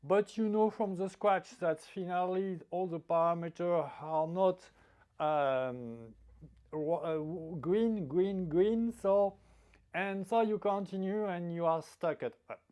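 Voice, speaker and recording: Slow, halting man. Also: sits high, a little mellow, smooth. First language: French. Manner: halting, searching for words, many restarts. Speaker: dating advice vlogger. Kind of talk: storytelling monologue.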